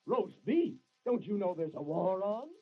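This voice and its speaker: An actor playing a sassy, combative waiter full of sarcastic wisecracks in a 1940s radio comedy. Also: male voice, sits high, lively intonation, unhurried, husky, heavily acted. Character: sassy, wisecracking waiter quick with insults and diner slang. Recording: muffled, noisy old radio recording, clicks.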